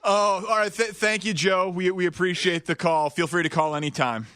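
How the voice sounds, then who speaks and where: A man's voice: high-pitched, steady tone, moderate pace, pushed and bright, clear, resonant tone, no room sound. A man co-hosting a sports radio show in casual talk.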